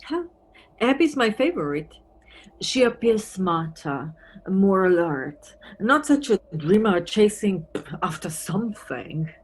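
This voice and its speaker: An actress playing a wry, wistful married police detective from Antwerp in an audio play, musing conversationally. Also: female voice, mid-range voice, very animated, slow pace, slightly rough, heavily acted. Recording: noisy recording with background ambience.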